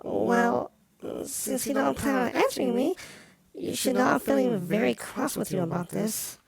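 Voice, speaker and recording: A woman's voice: a little high, animated, unhurried, vocal fry, crowd noise, rough recording. Prim, dramatic doll lady played by a woman. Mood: wounded, cross. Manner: prim, formal phrasing. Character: jealous, melodramatic porcelain doll woman, prim and pouty. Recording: loud music behind the voice. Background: music.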